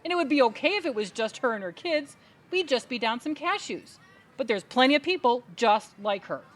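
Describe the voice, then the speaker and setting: A woman's voice: sits high, animated, a little fast. A woman playing an indignant, crusading adult sister in a comedic audio play, speaking in a dramatic, emphatic, speechifying way. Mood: alarmed, ranting. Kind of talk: comedic audio theatre acting.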